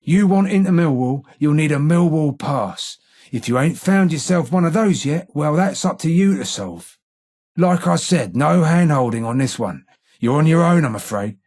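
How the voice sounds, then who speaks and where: A menacing, sardonic London street tough for a video game. His working-class British voice sits fairly high and is rough with gravel and rasp. He talks in blunt, taunting slang with big swings in pitch. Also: lively intonation, normal speed, darkish tone. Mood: gruff, confiding. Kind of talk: video game character voice lines.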